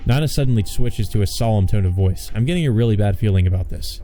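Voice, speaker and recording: A man's voice: fairly low pitch, fast talker, dark tone, soft background music. A man narrating a visual novel as a let's player, reading the text and dropping blunt, sardonic casual asides. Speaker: let's play host and reader.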